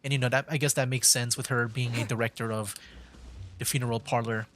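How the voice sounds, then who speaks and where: A man's voice: medium pitch, fairly even intonation, brisk, fairly bright tone, a little hiss or hum. A man giving casual livestream game commentary, mildly meandering as he thinks aloud. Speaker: streamer, VTuber.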